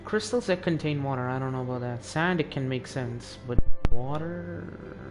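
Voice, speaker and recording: A man's voice: mid-range voice, fairly quick, over music. A teenage male gamer giving casual, musing off-the-cuff commentary while playing. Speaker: game streamer.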